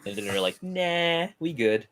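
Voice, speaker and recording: A man's voice: a little high, big pitch swings, measured pace, fairly bright tone. Blunt man with wildly swinging intonation. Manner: blunt, dismissive. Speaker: podcast panelist. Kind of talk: casual movie discussion.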